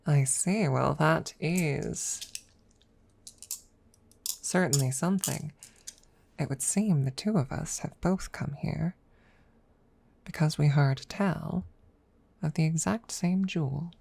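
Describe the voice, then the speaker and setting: A woman playing a proud, teasing dragon rival in a soft, measured ASMR audio roleplay, at times dropping toward a whisper. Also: low-pitched, gravelly, slightly rough, heavily acted. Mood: haughty, intrigued. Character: proud, teasing dragon rival hiding affection, hoarder of treasure.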